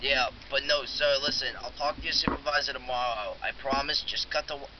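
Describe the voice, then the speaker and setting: A man's voice: mid-range voice, steady tone, brisk, lightly acted. A man playing an insistent, pleading security technician on a prank phone call, repeating himself. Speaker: prank caller. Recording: dull lo-fi phone recording with hiss.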